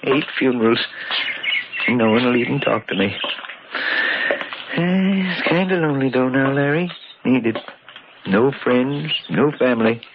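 A man's voice: mid-range voice, measured pace, pauses often, natural voice. An actor playing a chatty, boastful and lonely old man with a folksy, rambling manner in old-time radio drama. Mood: lonely, forlorn. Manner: folksy, rambling, chuckling to himself. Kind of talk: 1940s radio acting. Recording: noisy, muffled old radio recording with clicks.

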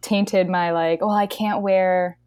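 A woman with a slightly nasal voice, co-hosting a podcast and telling stories in animated, emphatic casual chat. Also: fairly low pitch, takes it slowly, smooth, no echo, clean studio sound. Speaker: podcast co-host.

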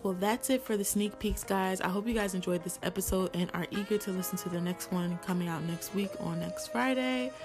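A woman's voice: medium pitch, a little fast, hardly any pauses, over music. A woman in her early twenties hosting a podcast in a casual, chatty, informal way. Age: early 20s. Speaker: podcast host.

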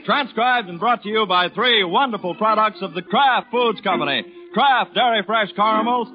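A man's voice: sits high, moderate pace. An American man working as a radio announcer, speaking in a showy, declamatory 1940s style. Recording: dull 1940s radio broadcast recording. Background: music.

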